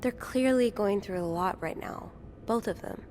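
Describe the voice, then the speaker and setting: An actress playing a young hotel maid turned amateur sleuth, speaking gently and hesitantly, stammering now and then. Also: female voice, mid-range voice, normal speed, slight background noise, natural voice.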